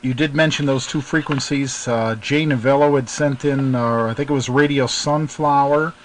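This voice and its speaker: A man hosting a casual hobby radio chat, talking in a halting, hesitant way as he interviews. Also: mid-range voice, fairly even intonation, slight background noise, low-quality recording. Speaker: host, interviewer.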